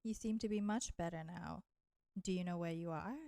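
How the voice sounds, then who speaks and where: Woman playing a teasing vampire seductress, creaky voice. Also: on the low side, dark tone, dry recording, natural voice. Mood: teasing menace. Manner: slow, teasing, coaxing, addressing listener with pet names. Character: flirty, teasing, nurturing female vampire, ancient enemy turned caretaker. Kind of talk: intimate roleplay audio drama.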